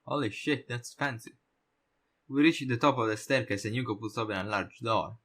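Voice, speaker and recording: A man's voice: mid-range voice, normal speed, fairly bright tone. A man reading a visual novel aloud as a let's player, narrating the story with casual reactions and now and then stumbling over words. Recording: voice over guitar background music.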